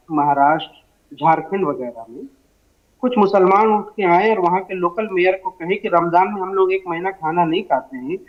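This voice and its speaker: A high-pitched man argues as a panelist in a television debate. He is methodical and lays out his case point by point. The audio sounds a little dull and band-limited. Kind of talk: argumentative TV debate.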